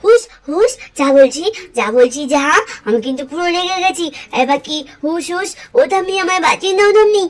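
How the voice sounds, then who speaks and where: Mischievous little girl doll, cartoon, extremely high-pitched, whiny, pleading repeatedly. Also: lively intonation, brisk. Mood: crying, pleading. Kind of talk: doll-story cartoon voice acting.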